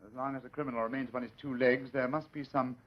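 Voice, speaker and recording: An actor playing an arrogant, sharp-eyed Victorian gentleman detective in a radio mystery, dry, pompous and precise in his delivery. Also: medium pitch, fairly even intonation, rapid-fire, close to an everyday voice. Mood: keen, self-assured. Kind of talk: vintage radio mystery acting. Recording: dull, lo-fi old radio recording.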